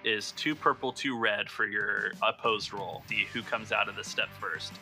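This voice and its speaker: A man's voice: lowish voice, fairly even intonation, normal speed, vocal fry, pushed and bright, faint music behind. A man running a tabletop roleplaying game as game master, narrating scenes in a descriptive, conversational way.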